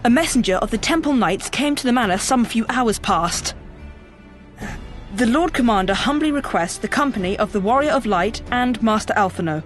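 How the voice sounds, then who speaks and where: A woman's voice: fairly high pitch, animated, moderate pace, faint music behind, natural voice. A woman voicing a courteous, dutiful female messenger with formal speech in a game cutscene. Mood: relieved, dutiful. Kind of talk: video game cutscene acting.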